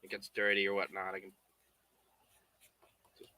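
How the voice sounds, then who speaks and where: A man making puppets on a casual livestream, muttering quietly to himself as he works at his bench. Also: low-pitched, completely flat delivery, normal speed. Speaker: puppet maker streaming his work. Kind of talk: casual livestream chat while crafting. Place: home work bench.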